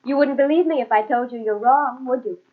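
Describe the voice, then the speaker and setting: Wistful, high-voiced mystery woman. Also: fairly quick. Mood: sad, resigned. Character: mysterious, sorrowful young femme fatale. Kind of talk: old-time radio mystery acting. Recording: dull, muffled old radio tape.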